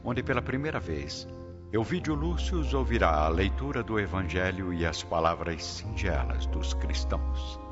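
A man's voice: low voice, animated, normal speed. A Brazilian man narrating a radio soap in a solemn, formal, dramatic scripted style. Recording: dull lo-fi radio drama recording. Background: very loud dramatic music.

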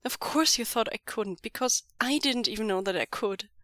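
A woman's voice: a little high, normal speed, a bit bright, dry recording, studio quality, close to an everyday voice. A woman voicing an emotional, guilt-ridden young man who sounds tearful, near sobbing and pleading. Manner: pleading and raised.

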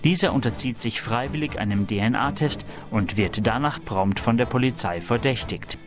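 Male announcer, mid-pitched, scripted radio serial recap, clear music bed.

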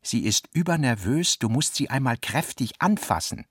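A man narrating a literary radio drama in a deliberate manner, with a gravelly voice. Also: medium pitch, sing-song, dry recording, studio quality.

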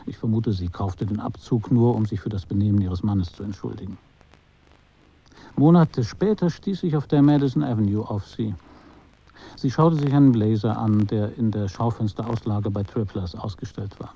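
An actor playing a detached, observant photographer who tells his story in a radio play, measured and matter-of-fact. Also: fairly low pitch, mellow, slightly rough, dull audio. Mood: detached, reflective. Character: detached, observant male art photographer recounting his encounters. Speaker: narrator and main character of a radio play. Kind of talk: literary first-person radio drama narration.

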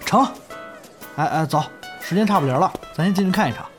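A man playing an eager, chatty young crosstalk comedy hopeful in a Chinese audio drama, speaking colloquial with expressive delivery. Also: sits high, fast talker, soft background music. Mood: earnest, eager.

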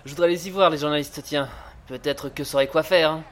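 Man acting a flustered, high-pitched police inspector. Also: fairly even intonation, brisk, a bit bright, slight background noise, close to an everyday voice. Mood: grumbling. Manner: stammering, grumbling. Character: flustered, put-upon police inspector, exasperated younger brother. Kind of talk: French audio drama acting.

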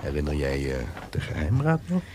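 A man's voice: rumbling low, huge pitch swings, a little fast, exaggerated voice. A man acting theatrically in a Dutch radio drama as a wary, exclamatory, grumbling companion of an adventuress. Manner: exclamatory, exasperated, mimicking others. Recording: clear background noise with clicks.

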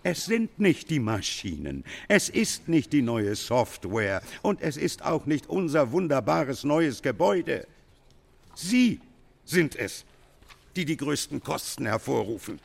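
Creaky-voiced, domineering company boss. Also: male voice, medium pitch, very animated, slow pace, heavily acted. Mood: stern, impatient. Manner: managerial jargon, formal, brooks no objection. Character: smooth, domineering male company boss addressing staff. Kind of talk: staged radio play speech.

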